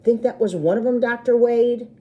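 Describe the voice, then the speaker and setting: A woman's voice: on the high side, moderate pace, highs cut off, voice pushed up, lightly acted. A woman voicing a nasty, bullying man in a storybook, with a mocking, emphatic, sarcastic delivery. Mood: sneering, sarcastic.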